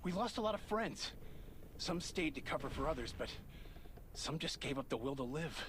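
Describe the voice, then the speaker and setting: An actor playing an angry, grieving survivor guard in a video game, bitter but resolute. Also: male voice, on the high side, lively intonation, moderate pace, noisy background, natural voice. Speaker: game character. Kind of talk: dramatic video game dialogue.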